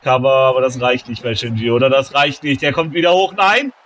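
Excitable game-wrestling commentator. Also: male voice, fairly quick. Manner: shouts and gets high-pitched at big moments, calmer when tallying scores. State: has a cold, slightly hoarse.

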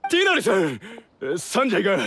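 A man voicing a flustered, high-strung festival assistant in an anime game. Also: wildly swooping pitch, quick pace, a bit bright, a little hiss or hum, exaggerated voice.

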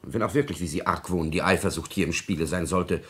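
A calculating villain of a secretary in a 1950s radio drama. The voice is very low and rough, speaking measured with servile insinuation. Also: male voice, a bit bright. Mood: deferential, sly. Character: sly, obsequious, calculating private secretary, a villain. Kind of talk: 1950s German radio play acting.

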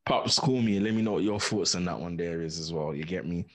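A man, co-hosting a podcast in casual chat from a small home studio, laid-back and quick to laugh. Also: low voice. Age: 30s. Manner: laid-back, laughs a lot, repeats phrases. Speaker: podcast co-host. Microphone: studio mic on arm, headphones.

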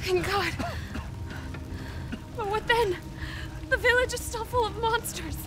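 A woman's voice: extremely high pitch, takes it slowly, background noise. An actress playing a frightened, grieving young village woman with emotional delivery in a cinematic video game. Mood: fearful, hopeless. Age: young adult.

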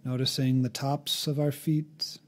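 A man narrating a guided meditation in a calm, gently instructive way, leaving long pauses between phrases. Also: medium pitch.

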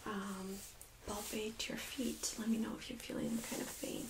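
A young woman speaking in a soft, breathy near-whisper, gently playing a medical examiner in an ASMR role-play. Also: mid-range voice, level tone, low-quality recording. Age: young adult. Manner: gentle, unhurried, reassuring. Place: small carpeted exam room, indoors. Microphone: USB microphone on a stand nearby.

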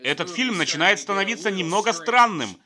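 A man's voice: sits high, animated, brisk, heavy background noise. A man narrating scripted comedic film commentary in a sardonic, joking manner.

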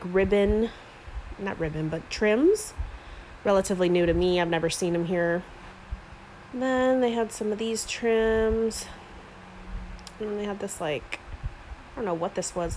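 A woman's voice: mid-range voice, a little mellow, a little hiss or hum, rough recording. A woman chatting casually while filming herself browsing the aisles of a store, with lots of filler words. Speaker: craft vlogger. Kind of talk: casual shop-with-me narration. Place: inside a store, walking the aisles. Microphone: handheld phone camera.

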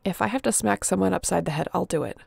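A woman's voice: lowish voice, quick pace, creaky, darkish tone, slightly rough, dry recording, heavily acted. A woman playing a resilient young apocalypse survivor in an audio roleplay, speaking intimately and earnestly with a wry edge. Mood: determined, planning. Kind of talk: dramatic audio roleplay addressed to the listener.

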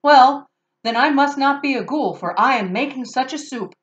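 A woman's voice: high voice, expressive, normal speed, highs cut off, pitched above the performer's own voice. A woman voicing a sly, grinning male stranger who cooks, raised and coaxing in a storybook reading. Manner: coaxing, sing-song.

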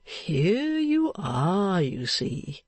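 Kindly old church man, done by a female narrator, stout, chatty, very slow, swooping intonation, storybook character. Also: lowish voice, big pitch swings, a little mellow, slightly rough, no room sound, full character voice. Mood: admiring, solicitous. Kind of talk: dramatized audiobook reading of a classic novel.

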